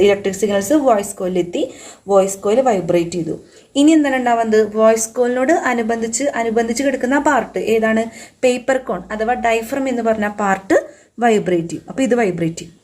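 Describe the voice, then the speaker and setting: A woman's voice: a little high, a little mellow. A woman with a Kerala Malayali accent teaching an exam-prep lesson step by step, instructive, repeating key points and posing questions she then answers. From Kerala, India. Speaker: physics teacher.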